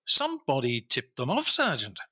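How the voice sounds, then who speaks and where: An actor playing a boastful, famous Victorian-era detective inspector in an old-time radio drama, formal and theatrical in manner. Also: male voice, medium pitch, wildly swooping pitch, normal speed, sharp tone, slightly rough, heavily acted. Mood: self-assured, storytelling. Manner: theatrical, self-assured, formal.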